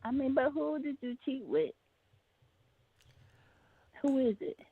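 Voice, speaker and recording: A woman's voice: high voice, lively intonation, slow pace, slightly rough. Questioning woman on a tinny line. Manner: skeptical, questioning. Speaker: co-host in role play. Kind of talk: improvised role play. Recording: dry phone-like line.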